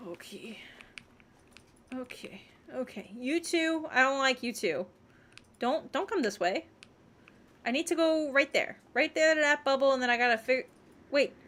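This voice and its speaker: A woman's voice: high voice, lively intonation, measured pace, pauses often, fairly bright tone. A woman livestreamer giving casual gameplay commentary, muttering questions and reactions to herself as she plays. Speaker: video game streamer.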